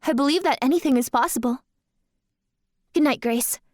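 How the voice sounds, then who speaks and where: High-voiced, polite young flying dancer played by an actress. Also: female voice, moderate pace, darkish tone, a little hiss or hum, natural voice. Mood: gentle, polite. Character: polite, coy young woman dancer who can fly. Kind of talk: audio drama acting.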